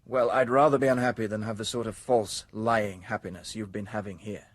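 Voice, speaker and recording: A man's voice: lowish voice, steady tone, a little fast, no echo, natural voice. An actor playing an earnest, idealistic young male outsider who speaks plainly and directly, in a radio drama.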